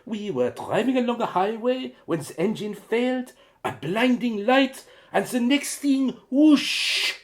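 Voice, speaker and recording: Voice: mid-range voice, animated, measured pace, lightly acted. An actor playing an eager, helpful foreign former student stranded as an exile in a radio play, explaining earnestly. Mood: dramatic recounting.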